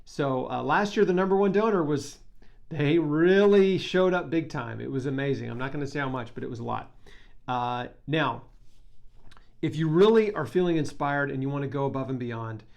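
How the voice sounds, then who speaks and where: A man's voice: medium pitch, moderate pace, creaky, darkish tone. A man talking casually to camera as a vlogger making a charity appeal, with an informal, enthusiastic and earnest manner. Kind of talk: casual talk to camera, fundraising pitch.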